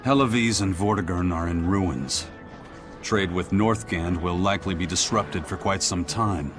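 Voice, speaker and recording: A stern, composed pirate officer from a game speaks in a low voice. The delivery is measured and matter-of-fact, with music clearly behind it. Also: male voice. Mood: grave. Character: stern, composed male pirate first mate. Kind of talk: dramatic game voice acting.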